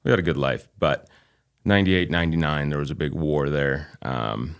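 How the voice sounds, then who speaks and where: A man in his thirties, a native Albanian speaker from Kosovo with a light New England accent, talking as a guest in a measured, reflective way with frequent pauses. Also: rumbling low, vocal fry. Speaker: guest chiropractor. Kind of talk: casual interview chat.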